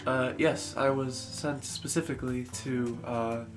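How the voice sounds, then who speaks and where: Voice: mid-range voice, steady tone, unhurried. A player speaking in character during a tabletop game, hesitant and polite. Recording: background music.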